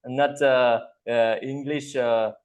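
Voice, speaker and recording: A man with a French accent, a native French speaker, co-hosting a video call and talking with many pauses and restarts. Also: medium pitch, steady tone. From France. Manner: halting, many hesitations and restarts. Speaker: co-host. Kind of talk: casual video call chat.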